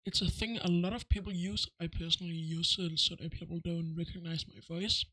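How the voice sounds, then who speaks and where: High-pitched man giving a software tutorial. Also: flat delivery, brisk, no echo, low-quality recording. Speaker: tutorial host. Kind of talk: casual step-by-step software tutorial voice-over.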